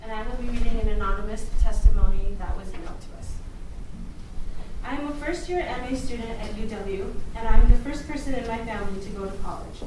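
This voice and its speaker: Young woman reading aloud in an echoey hall. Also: fairly high pitch. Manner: earnest, steady reading with small slips and restarts. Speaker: student council co-chair. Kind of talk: reading a written testimony aloud at a public forum. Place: university lecture room at a public event. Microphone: room recorder from a distance. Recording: distant, very echoey room recording with noise.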